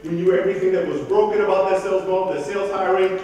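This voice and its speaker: A man in his thirties from Greece, raised in southern Germany, giving a blunt, profane, energetic keynote talk at a conference. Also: high voice, racing, slight background noise. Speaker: conference speaker. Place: large conference hall. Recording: echoey hall from far off.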